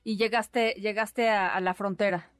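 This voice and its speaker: A woman hosts a radio news interview, asking short, direct questions and nudging her guest along. Her voice sits at an ordinary pitch for a woman, recorded close and dry in the studio. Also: fairly even intonation, unhurried, clean tone, no room sound, faint music behind. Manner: brief prompting questions, frequent short backchannel sounds.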